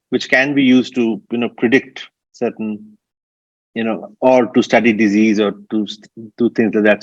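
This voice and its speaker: A man's voice: medium pitch, no room sound. A man hosting a radio interview, who often backchannels and adds brief remarks of his own. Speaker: radio host. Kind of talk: conversational interview. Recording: dry, dull lo-fi sound.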